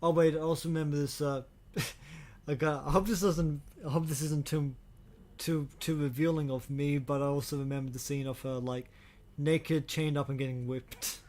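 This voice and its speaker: A man co-hosting a casual podcast about movies, talking in halting, hesitant phrases with casual swearing. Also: fairly high pitch, steady tone, a little mellow. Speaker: podcast co-host.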